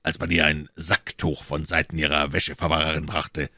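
A man as a very deep-voiced, loyal gentleman informant. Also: little pitch movement, moderate pace, creaky, no room sound, clean studio sound, exaggerated voice. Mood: measured, reporting. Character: loyal, assured gentleman informant reporting to his master. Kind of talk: audiobook character dialogue.

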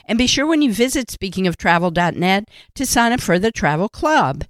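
A woman hosting a radio travel show, introducing her guest in a bright, welcoming announcer delivery. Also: medium pitch, big pitch swings, brisk, no room sound, clean studio sound.

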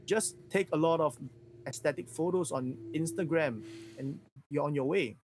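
A man from Singapore, a native Mandarin speaker with a Singaporean accent, chatting casually and candidly on a livestream in an animated way. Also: a little high, moderate pace, noisy background.

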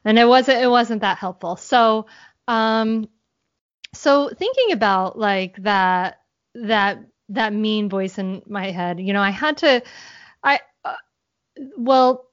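Halting mom on a podcast. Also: female voice, medium pitch, lively intonation, no echo. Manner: halting, many restarts and fillers. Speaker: guest. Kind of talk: podcast interview, conversational. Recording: dull, dry sound.

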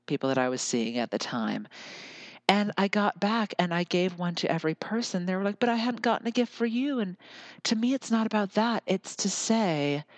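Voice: medium pitch, dry recording. An American podcast host speaking alone in an earnest, musing way, often drifting into lyrical repetition. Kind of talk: reflective solo podcast monologue.

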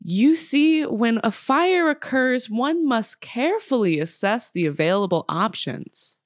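A woman's voice: a little high, very animated, measured pace, no echo, studio quality. Woman playing a pompous, fussy fire dispatcher. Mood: prim, unruffled. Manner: pompous, fussy, overly formal. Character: prim, unhurried, pompous female artisanal fire department dispatcher. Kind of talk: comedy sketch table read.

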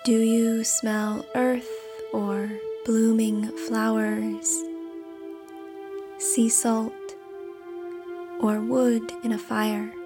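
A woman reading a script as a meditation guide, with a soft, deliberate, instructional delivery. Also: on the high side, level tone. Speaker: meditation guide and podcast host. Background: background music.

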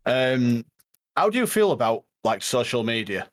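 A man, a podcast guest chatting casually, animated and teasing, repeating words as he jumps in. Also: mid-range voice, normal speed. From United Kingdom. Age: 30s. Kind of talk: casual conversation.

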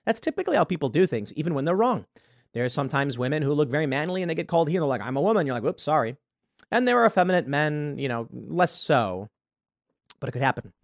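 A man hosting a commentary show, talking through his views in a halting way with many repeated words and sudden loud emphasis. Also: medium pitch, no echo, studio quality. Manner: hesitant, repeats words, stresses points loudly. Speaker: show host. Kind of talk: political commentary monologue.

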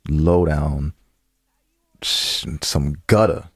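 A man's voice: rumbling low, very slow, dry recording, studio quality. A man co-hosting a casual advice show, talking in slang, bluntly, and repeating phrases for emphasis. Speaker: podcast co-host giving advice. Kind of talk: casual advice banter with co-host.

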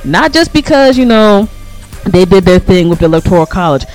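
An American man with a somewhat high voice talks as a radio co-host. His commentary is casual, colloquial and emphatic, full of slang. Faint music plays behind him. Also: sing-song, fairly quick.

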